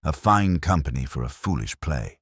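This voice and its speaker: A man plays an imperious older genius detective from a mystery audiobook. He is pedantic and pompous, with a very low, husky voice and formal, measured phrasing. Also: expressive, fairly bright tone, no room sound, studio quality, heavily acted. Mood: dry disdain.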